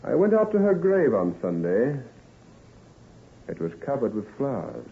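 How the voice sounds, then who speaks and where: A man playing a brisk, solicitous doctor in an old-time radio drama, emphatic and reassuring in his talk. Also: mid-range voice, huge pitch swings, gravelly, narrow, tinny audio, slight background noise, full character voice. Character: male doctor, brisk, solicitous friend and adviser.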